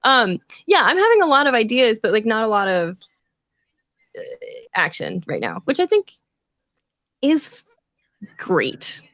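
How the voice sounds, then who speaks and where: A woman's voice: on the high side, very animated, a little slow, long pauses, fairly bright tone, no room sound. Casual, informal woman hosting, dry sound. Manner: informal, apologetic, swears casually. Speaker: host relaying audience questions. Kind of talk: casual live stream chat.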